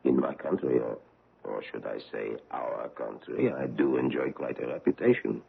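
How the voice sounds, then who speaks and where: An actor playing a courteous, probing foreign investigator on the trail of a war criminal, in a 1970s radio drama. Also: male voice, very deep voice, animated, moderate pace, gravelly, husky, heavily acted. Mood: suave, probing. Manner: courteous, probing, gently menacing. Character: suave middle-aged foreign male war-crimes investigator. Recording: tinny old radio broadcast.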